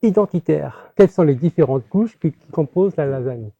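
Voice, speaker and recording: A man's voice: a little high, expressive, brisk, gravelly, warm tone, husky, no echo. A man hosting a podcast interview, asking short questions. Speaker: podcast host. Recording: dry, phone-like narrow sound.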